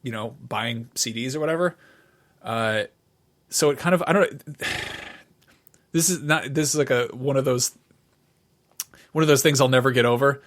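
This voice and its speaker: A man's voice: medium pitch, moderate pace, long pauses, fairly bright tone. A man co-hosting a podcast, chatting casually and informally about the past, with some swearing. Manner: informal, profane. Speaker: podcast co-host. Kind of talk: casual chat, reminiscing about music.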